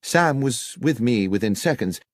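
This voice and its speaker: An earnest village vicar played by a male audiobook reader gives his account with formal precision. His voice moves expressively up and down at a middling pitch, in a very dry, close sound. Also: lively intonation, unhurried, no room sound, close to an everyday voice. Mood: earnest, explanatory. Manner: formal, wordy, precise storytelling. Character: earnest village vicar recounting a theft to detectives. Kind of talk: audiobook character dialogue.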